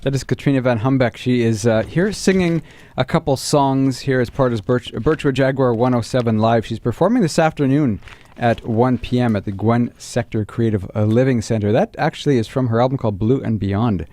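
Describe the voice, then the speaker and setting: A man's voice: medium pitch, fairly quick, mellow. A man hosting a live radio interview, speaking into a studio microphone. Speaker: radio host interviewing a guest. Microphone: studio broadcast microphone.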